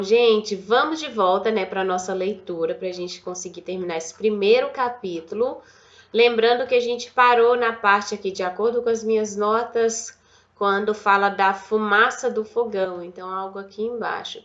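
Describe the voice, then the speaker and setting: A Brazilian woman with a cold leads an online English lesson in a chatty way, reading aloud and drilling pronunciation. Her pitch sits in the middle for a woman. The recording is a little dull and lo-fi. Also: fairly bright tone. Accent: Brazilian Portuguese. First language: Portuguese. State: has a cold. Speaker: English teacher.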